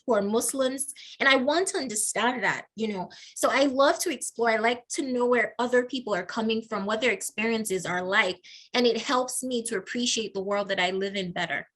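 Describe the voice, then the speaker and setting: A woman with a Nigerian accent, a faculty member, talking over a video call as an interview guest and telling stories with frequent laughs. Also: a little high. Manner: warm storytelling with frequent laughs.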